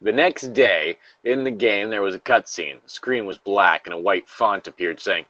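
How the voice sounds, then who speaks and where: Man, lowish pitch, story reader, casual sardonic asides, swearing, muffled lo-fi sound. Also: normal speed, bright, forceful tone. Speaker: co-host reading video game creepypastas.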